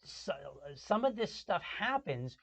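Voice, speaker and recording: A man's voice: high-pitched, very animated, slow pace, a bit bright. A man hosting a casual podcast, affirming with "right" and restarting phrases as he explains. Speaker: podcast host. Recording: dull lo-fi podcast audio.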